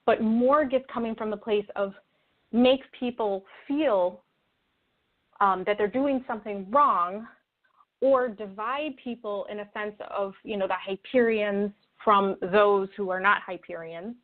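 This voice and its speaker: A woman speaking as an interview guest in an earnest, conversational way, reflective and halting as she rephrases her thoughts. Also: medium pitch. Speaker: interview guest, spiritual video creator. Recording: tinny phone-like call audio.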